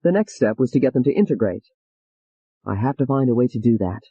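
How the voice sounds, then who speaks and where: A patient male psychiatrist speaks in a lowered, soothing, measured voice, as a woman narrator puts him on. The tone is dark and mellow. The audio is dull and dry. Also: expressive, slightly rough, no room sound, exaggerated voice.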